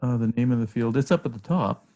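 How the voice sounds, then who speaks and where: A man from Alberta, Canada, chatting casually and joking on a video call about the software he builds. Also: mid-range voice, fast talker, highs cut off. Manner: relaxed, joking.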